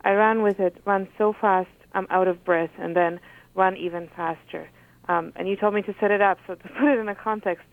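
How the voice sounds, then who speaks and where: Polish-born woman with a gravelly voice. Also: mid-range voice, fairly even intonation, a little fast. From Poland. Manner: thoughtful, hesitant with frequent ums. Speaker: author, guest. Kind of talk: reading from her own book and chatting. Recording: phone-like radio audio.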